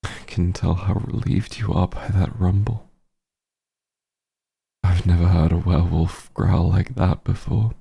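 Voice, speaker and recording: A man playing a remorseful werewolf hunter in an intimate fantasy audio roleplay, speaking gently and haltingly with a husky, emotional voice. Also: deep voice, steady tone, exaggerated voice. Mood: relieved, affectionate. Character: werewolf hunter, tender and remorseful, reunited with lost friend. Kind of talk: roleplay audio drama, intimate monologue to listener.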